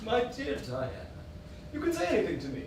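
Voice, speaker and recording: A bewildered, well-meaning friend acted by a woman exclaims theatrically with wildly swinging intonation. It is a stage performance heard from far off in a very echoey room with clear background noise. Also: on the high side, huge pitch swings, brisk. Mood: friendly, puzzled. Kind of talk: stage acting in a one-act play. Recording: echoey, distant, noisy theatre stage recording.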